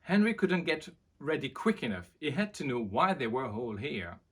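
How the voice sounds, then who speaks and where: A man presenting and reading a children's storybook aloud in an expressive way. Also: medium pitch, normal speed, husky.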